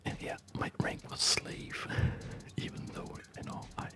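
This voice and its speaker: A man doing relaxed, chatty ASMR game commentary in a soft, breathy whisper. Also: measured pace. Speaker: host of an ASMR gaming channel. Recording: background game music. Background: loud game music.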